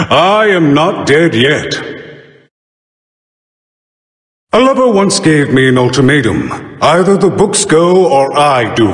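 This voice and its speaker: An actor playing a bookish bird-headed god and solemn judge of the dead in a video game, with a grand, formal, theatrical delivery and dry wit. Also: male voice, a little high, a bit bright. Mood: dry, wry. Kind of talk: video game character voice lines. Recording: roomy studio voice with long reverb.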